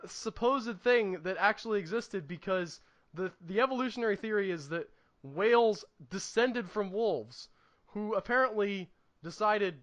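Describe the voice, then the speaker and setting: A man's voice: sits high, lively intonation, unhurried, fairly bright tone, highs cut off. A man co-hosting a podcast in casual chat, with a wry, opinionated and mildly sweary manner. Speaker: podcast co-host reviewing movies.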